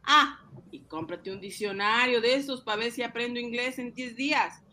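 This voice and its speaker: A man voicing an excitable, boastful ice-cream seller who fancies himself a banker, cajoling and exclaiming in a comic radio drama. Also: extremely high pitch, normal speed, voice pushed up, heavily acted. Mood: scheming, excited.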